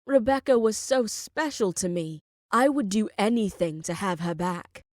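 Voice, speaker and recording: A woman's voice: medium pitch, lively intonation, moderate pace, darkish tone, no echo, close to an everyday voice. Lady doing a posh-formal british gallery owner woman, composed, controlled, dry close audiobook sound. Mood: sorrowful, controlled. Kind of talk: audiobook character voice.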